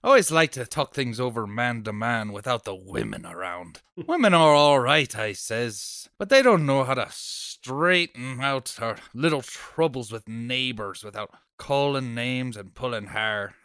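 A man's voice: medium pitch, lively intonation, a little slow, hardly any pauses, bright, forceful tone, no room sound, clean studio sound. A man playing a nosy, chatty, stubborn off-duty policeman neighbor in a table read of an old radio horror play, with folksy, blustery speech. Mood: confiding, sheepish.

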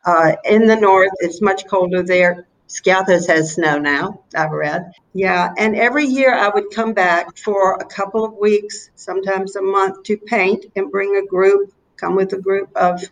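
Older Southern American woman reminiscing haltingly. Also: mid-range voice, rough recording. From North Carolina, USA. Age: 70s. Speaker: interview guest, painter. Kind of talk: casual interview chat.

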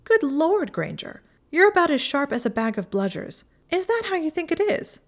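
A woman's voice: medium pitch, animated, moderate pace, pitched above the performer's own voice, natural voice. A woman voicing a haughty, mocking young witch who talks down to others in a condescending tone. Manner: condescending, sneering.

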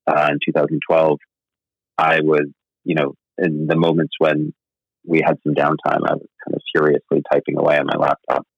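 A man with a very low voice talks about his writing as a guest in a casual interview. He rambles and hesitates and is self-deprecating. The sound is dry and a little muffled. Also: monotone, normal speed, vocal fry, slightly rough, dry recording. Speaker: novelist guest.

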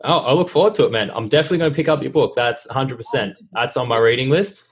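A hoarse man with a cold puts friendly, chatty questions to his guest. His voice is husky and sits at a middle pitch. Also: fast talker. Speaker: podcast host. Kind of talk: interview questions.

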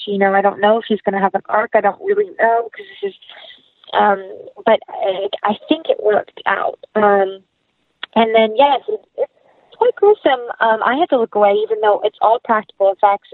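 A woman's voice: on the high side, dry recording, phone-quality sound. A woman speaking as an actress being interviewed, chatting casually and animatedly with quoted asides, over a thin remote call.

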